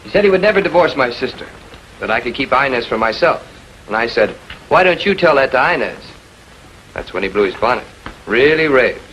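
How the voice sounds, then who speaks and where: A man's voice: mid-range voice, lively intonation, a little fast, fairly bright tone, natural voice. An actor playing a smug, flippant male schemer in a 1940s filmed crime drama, glib and sardonic under questioning. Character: smug, flippant, self-satisfied brother-in-law, male schemer. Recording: noisy old 1940s film soundtrack.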